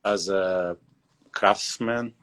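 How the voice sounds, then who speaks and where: Man, forties, from Bulgaria, English, deep voice, halting with lots of fillers, video call. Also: steady tone, a bit bright. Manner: hesitant, many fillers and pauses. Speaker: guest leatherworker and shoemaker. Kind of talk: casual interview over video call.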